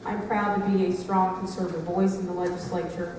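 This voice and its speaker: A woman state legislator giving a brisk, confident campaign speech at a candidate forum. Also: fairly low pitch, little pitch movement, background noise. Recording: echoey hall, mic far off.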